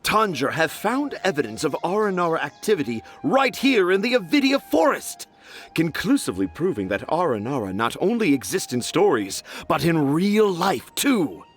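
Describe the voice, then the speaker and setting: A man's voice: fairly high pitch, wildly swooping pitch, moderate pace, soft background music. A man voicing a pompous, dreamy children's author in a video game, speaking in grand, flowery phrases. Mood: grandiose.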